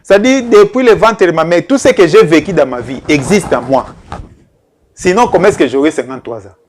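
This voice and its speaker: A man's voice: fairly high pitch, lively intonation, slight background noise. A man in his fifties, a life coach giving a televised talk to viewers, speaking earnestly and repeating key phrases. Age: 50s. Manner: slow, repetitive, earnest, addressing viewers directly.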